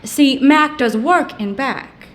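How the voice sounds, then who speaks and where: A woman's voice: high-pitched, takes it slowly. An actress playing a brash, sceptical small-town woman in a vintage-style comic audio drama, sassy, blunt and folksy. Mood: placating.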